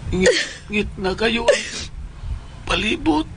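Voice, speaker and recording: A man's voice: high-pitched, animated, slow, sharp tone, heavily acted. A man playing a weak, fretful old father in a melodramatic comic Bisaya radio drama, speaking wearily and falteringly. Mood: dying, wistful. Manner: faltering, weary. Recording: heavy background noise.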